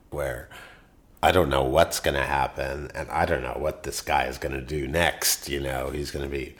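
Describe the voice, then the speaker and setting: A man with an extremely deep, low voice talks about his music career. He rambles a little, filling his story with asides, and speaks in an animated way. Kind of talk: interview storytelling.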